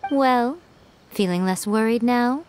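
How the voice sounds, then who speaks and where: A woman playing a poised, teasing shrine maiden in a video game, with a sly, knowing, unhurried manner. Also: on the high side, background noise. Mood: smug, reassuring. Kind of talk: video game character acting.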